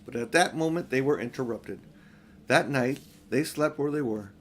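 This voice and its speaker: An older man reads a children's story aloud in an expressive, unhurried, homely way, with informal asides. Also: mid-range voice, slight background noise. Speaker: audiobook reader.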